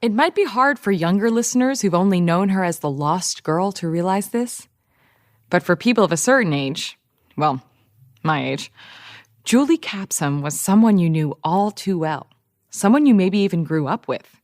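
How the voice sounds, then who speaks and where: Actress as a low-voiced wry woman journalist. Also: expressive, moderate pace, no room sound, clean studio sound, lightly acted. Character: wry female radio journalist looking back, nostalgic. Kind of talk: scripted narration in podcast audio drama.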